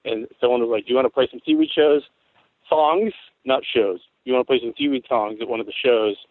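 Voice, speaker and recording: A man in his forties from Tacoma, Washington, with a rough, worn voice, telling stories in a rambling, laid-back way as a guest on an interview show. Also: medium pitch, fairly quick, dry recording. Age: 40s. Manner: rambling, laid-back storytelling with frequent swearing. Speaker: guest musician. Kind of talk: casual interview chat. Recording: tinny phone-like line, dry.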